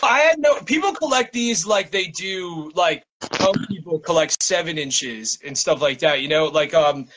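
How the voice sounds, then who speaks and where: A man's voice: a little high, animated, moderate pace, background noise. A man chatting casually as an interview guest, rambling and breaking off his sentences with plenty of "like". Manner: rambling, self-interrupting, frequent "like". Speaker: interview guest, poet and small-press publisher.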